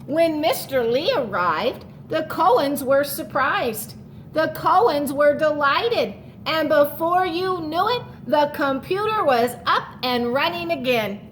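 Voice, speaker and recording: Slow, high-voiced woman reading to children. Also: a little hiss or hum. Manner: expressive, stretches key words, explains asides to young listeners. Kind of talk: picture-book read-aloud for children.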